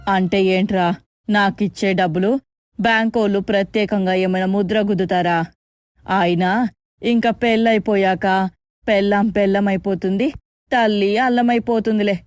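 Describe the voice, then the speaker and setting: A woman's voice: mid-range voice, fairly even intonation, moderate pace, darkish tone, no echo, clean studio sound, faint music behind, lightly acted. Woman acting a wheedling, scheming middle-aged mother-in-law. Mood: aggrieved, self-pitying. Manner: wheedling, theatrically aggrieved. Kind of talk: acted moral story voice-over.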